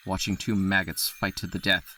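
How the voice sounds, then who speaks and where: A man narrating an audio drama in a steady storytelling voice. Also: low voice, normal speed, slight background noise.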